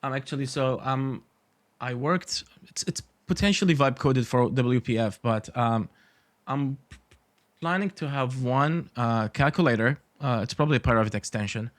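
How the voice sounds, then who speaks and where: A man's voice: medium pitch, normal speed, no room sound. A man from Iraq, an architect in his forties, chatting as a podcast guest, with many fillers and dry humor. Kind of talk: casual interview talk.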